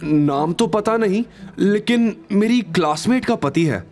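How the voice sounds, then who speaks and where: A man's voice: high voice, animated, unhurried, a little mellow, faint music behind, pitched above the performer's own voice. A man voicing a spoiled, vengeful young nephew with a sly, hesitant way of talking in an audio drama. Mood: pleading.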